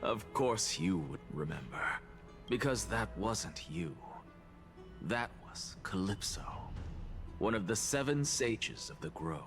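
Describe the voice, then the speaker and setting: Smug, very slow-speaking undead scholar. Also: male voice, lowish voice, expressive. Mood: knowing. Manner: deliberate, condescending, theatrical. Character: smug undead male scholar, calm and arrogant. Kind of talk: video game voice acting. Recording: game music behind the voice.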